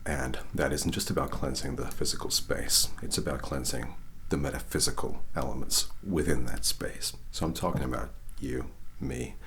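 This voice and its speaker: A man softly guiding an ASMR reiki session, half-whispering slowly and checking in with "okay?". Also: very deep voice, slight background noise. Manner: whispery, slow and soothing, frequent "okay?" check-ins. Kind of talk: soft-spoken ASMR guided session.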